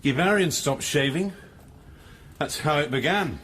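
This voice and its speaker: Give a drunken, mocking male scientist played by an actor in a radio play. His pitch swoops widely as he rambles and taunts theatrically, slurring, with faint noise behind him. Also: animated, unhurried, a bit bright. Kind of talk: audio drama acting.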